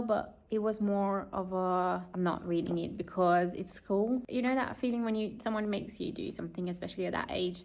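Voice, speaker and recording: Late-20s woman vlogging, chatty and thoughtful, mid-pitched voice. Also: darkish tone. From Romania. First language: Romanian. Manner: chatty and reflective, with light laughs. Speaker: lifestyle vlogger. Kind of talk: casual vlog narration.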